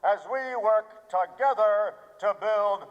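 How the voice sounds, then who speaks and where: A man playing a pompous city mayor giving a drawn-out ceremonial speech in a radio play. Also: high voice. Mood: grandly ceremonial. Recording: dull echoey hall public-address sound.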